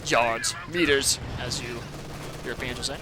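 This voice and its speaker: A man casually commentating a strategy game replay, excitable and laughing often, talking to his chat, his voice a bit hoarse. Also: mid-range voice, unhurried, noisy background. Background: video game gunfire and artillery.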